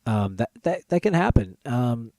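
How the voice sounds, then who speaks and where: A man's voice: medium pitch, very animated, a little slow, mellow, dry recording. A man hosting a podcast, bantering casually about films in a teasing, opinionated way. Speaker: podcast host.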